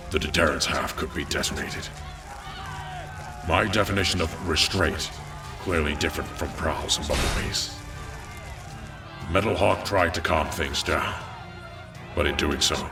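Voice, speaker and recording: An actor playing a noble, weary robot leader with a measured, grave and formal delivery. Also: male voice, rumbling low, far from the mic. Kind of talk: scripted narration and solemn oratory.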